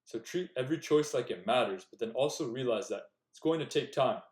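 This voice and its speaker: A man's voice: mid-range voice, animated, brisk. Earnest young man, echoey room. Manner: earnest, direct, coaching tone. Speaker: self-improvement YouTuber. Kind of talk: casual motivational talk to camera.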